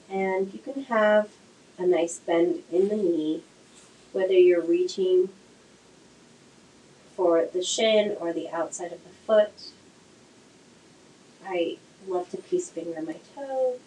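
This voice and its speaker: A woman teaching a slow yoga class with gentle, soothing cues, her voice a little nasal from a cold. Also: mid-range voice. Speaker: yoga instructor. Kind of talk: guided yoga class instruction. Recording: echoey room, faint noise.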